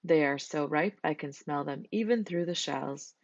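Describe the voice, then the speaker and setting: A woman voicing a devoted, cheerful gentleman goat in a children's storybook, earnest and polite. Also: low-pitched, normal speed, dry recording, lo-fi sound. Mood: pleased.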